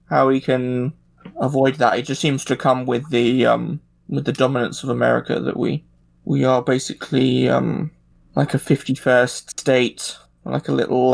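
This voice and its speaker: A man in a casual political discussion, talking in a halting way as he thinks aloud. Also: medium pitch, vocal fry.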